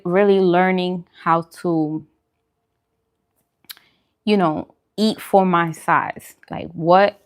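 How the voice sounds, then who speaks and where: A woman chatting casually on a livestream as host while she eats, informal and self-deprecating in her manner. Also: on the low side. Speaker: vlogger hosting a live mukbang stream.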